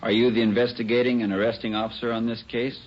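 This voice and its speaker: An actor playing a formal, impersonal American coroner who questions witnesses procedurally in a 1940s radio drama. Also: male voice, mid-range voice, fast, close to an everyday voice. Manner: monotone, procedural. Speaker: coroner's inquest official. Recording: dull old radio, faint noise.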